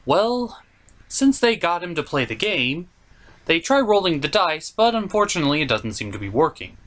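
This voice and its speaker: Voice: mid-range voice, very animated, normal speed, fairly bright tone. A reviewer giving a scripted comedic movie recap in a sarcastic, mock-exasperated way. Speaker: host reviewer.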